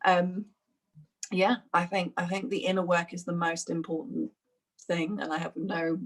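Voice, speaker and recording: A woman's voice: lowish voice, level tone, a little slow, rough recording. A woman, a fitness instructor, chatting reflectively in an interview with many fillers and restarts. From United Kingdom. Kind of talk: casual interview chat.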